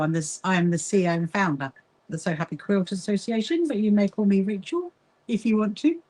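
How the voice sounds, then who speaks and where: A woman from England's south coast with a mixed Kentish and Sussex accent, chatting casually with livestream viewers while she sews. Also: medium pitch. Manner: chatty, greets viewers and answers their comments. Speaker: livestream host of a quilting association.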